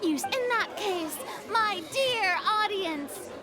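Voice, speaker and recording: High, theatrical, smug young lady accuser. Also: animated, slow, full character voice. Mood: triumphant. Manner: theatrical, pompous, gloating. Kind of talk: dramatic video game voice acting. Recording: music behind the voice.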